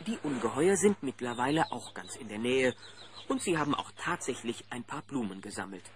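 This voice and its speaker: A man narrating a German children's audio drama as a friendly storyteller, with a husky voice. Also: medium pitch, big pitch swings, fairly quick, noisy background, close to an everyday voice. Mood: engaged storytelling.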